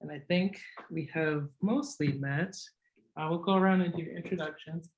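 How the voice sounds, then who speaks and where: Soft-spoken class leader. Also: medium pitch, normal speed, dull audio. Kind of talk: informal class introduction. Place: church meeting room.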